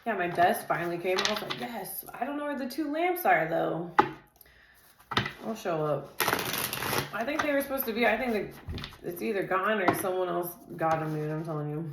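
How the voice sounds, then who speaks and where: A woman doing a casual tarot reading, talking in a loose, rambling way full of "like" and "dude", with a naturally loud voice. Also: lowish voice, animated, moderate pace, roomy sound, heavy background noise. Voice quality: says she has a naturally loud voice. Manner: chatty, loose, filler-heavy, says "dude" and "like" constantly. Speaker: tarot reader.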